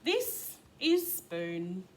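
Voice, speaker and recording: Female kindergarten storyteller, very animated intonation, slightly slow, question-asking, noticeable background noise. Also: mid-range voice. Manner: expressive, asks listeners questions. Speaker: kindergarten teacher reading a storybook. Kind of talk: children's storybook read-aloud with asides.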